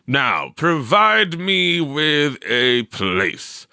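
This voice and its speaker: Storybook English teacher, portly man male narrator acting: brisk, instructive, projected, pitched up. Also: big pitch swings, pushed and bright, clear, resonant tone, no room sound, clean studio sound, full character voice. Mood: approving, instructive. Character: portly male middle-school English teacher quizzing class.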